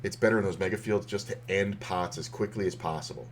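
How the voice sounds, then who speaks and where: A man casually talking through poker hands on a stream, rambling with lots of fillers, his voice slightly hoarse. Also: deep voice, fast talker, slight background noise. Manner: rambling, loose, with many fillers and restarts.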